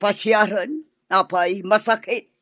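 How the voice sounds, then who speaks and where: A woman's voice: mid-range voice, steady tone, takes it slowly, fairly bright tone, dry recording, highs cut off. An actress playing a harsh, scornful middle-aged mother-in-law in an Ilocano radio drama, scolding and demanding with an Ilocano accent. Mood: cold, sarcastic.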